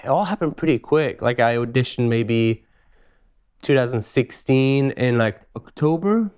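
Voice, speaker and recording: This is a man with a smooth, resonant voice. He sits at a middle pitch and tells his stories loosely, with plenty of casual fillers. He sounds laid-back and conversational as a podcast guest. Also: measured pace. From Switzerland. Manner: relaxed, easygoing storytelling with frequent "you know". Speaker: podcast guest, live show dancer. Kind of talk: casual interview chat.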